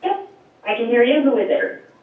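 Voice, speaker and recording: A woman presenting a program at a board meeting, explaining and answering questions with a loud voice, many fillers and light laughs. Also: a little high, lively intonation, moderate pace. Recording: echoey, distant, tinny phone-like line.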